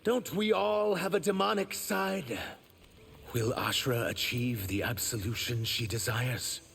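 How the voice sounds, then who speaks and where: A man voicing an earnest, wounded reptilian shapeshifter for a video game, measured and sincere with a gravelly edge. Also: medium pitch, a bit bright, heavily acted. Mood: earnest, resolute. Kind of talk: video game character acting.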